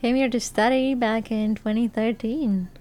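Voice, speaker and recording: A woman's voice: fairly high pitch, steady tone, moderate pace, darkish tone. A young woman from Romania with a Romanian accent, a guest chatting casually, answering in a dry, teasing way. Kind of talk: casual chat. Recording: faint background noise.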